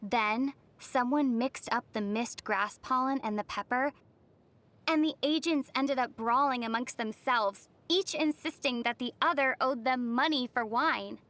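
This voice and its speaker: A woman playing a gentle, curious young female traveler in a video game, speaking in a light, musing, polite way. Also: on the high side, measured pace. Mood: matter-of-fact.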